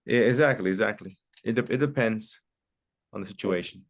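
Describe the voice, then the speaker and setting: A man's voice: on the low side, fairly quick, creaky. A man teaching an online English class, patiently repeating key phrases and sometimes explaining in Spanish. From Mexico.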